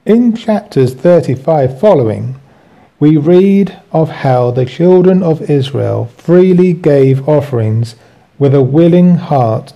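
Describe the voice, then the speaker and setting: A man reading a scripted religious lesson aloud as a teacher, in measured phrases. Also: a little high, warm tone. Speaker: Bible teacher.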